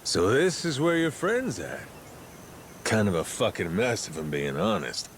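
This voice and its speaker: A man's voice: on the low side, huge pitch swings, measured pace, slight background noise, heavily acted. An actor playing a brash, sardonic tough guy with a blunt delivery in a game cutscene. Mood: teasing. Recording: music under the voice.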